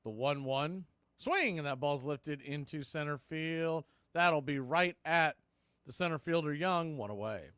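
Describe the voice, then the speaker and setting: A man hosts a live baseball radio broadcast, calling the game pitch by pitch. His voice sits a little high for a man and is recorded dry and close. He talks in clipped phrases, slipping in short asides between pitches. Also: a little slow, fairly bright tone, clear, resonant tone, no echo. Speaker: baseball play-by-play announcer and show host.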